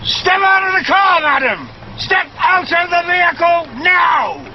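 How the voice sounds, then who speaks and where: Stern traffic officer, pompous, high pitch, lo-fi film clip. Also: male voice, a little slow, some chatter behind. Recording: lo-fi clip with loud noise.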